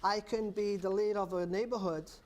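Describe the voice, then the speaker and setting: Soft-spoken Portuguese-accented male guest. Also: high voice, fairly even intonation, a little fast. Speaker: guest, neighborhood association leader. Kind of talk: talk show interview.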